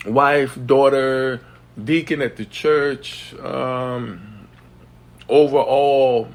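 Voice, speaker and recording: Hoarse man hosting a podcast. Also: mid-range voice. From East Coast of the United States. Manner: halting, many ums and restarts, formal and respectful. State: hoarse from a sinus infection. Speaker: podcast host. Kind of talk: late-night podcast interview.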